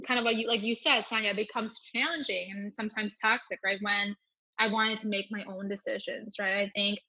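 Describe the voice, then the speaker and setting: A woman's voice: mid-range voice, steady tone, fairly quick, pushed and bright, husky, low-quality recording. A young woman who grew up with Thai as her first language, speaking earnestly as a guest in an interview and often checking in with "right?". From United States. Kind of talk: reflective personal interview answer.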